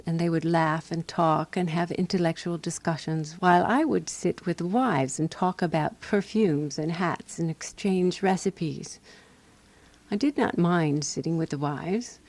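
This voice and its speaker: An actress playing a prim, jealous, watchful lady companion who narrates a radio drama in a dry, deliberate, wry way. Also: lowish voice, a little mellow.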